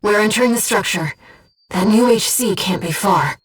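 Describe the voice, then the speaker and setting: An actress voices an ethereal young female spirit who guides a pilot through a video game. She is earnest and devoted, speaking in a mid-pitched voice with gentle, exact phrasing. The sound sits a little away from the microphone. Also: normal speed, husky, distant mic, lightly acted. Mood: composed, informative. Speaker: mission operator companion. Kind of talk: scripted video game voice acting.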